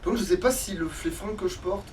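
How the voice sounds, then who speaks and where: Man, let's play gamer, casual crude chat, somewhat high pitch, faint background noise. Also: fairly quick, darkish tone, distant mic. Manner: crude, swearing, slangy.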